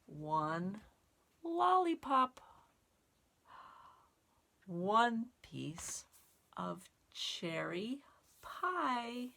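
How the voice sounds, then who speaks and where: A woman reading aloud to young children in simple, expressive, word-by-word phrases. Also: fairly high pitch, extremely slow, vocal fry, long pauses. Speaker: storytime reader and sign language teacher. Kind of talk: children's read-aloud and sign lesson.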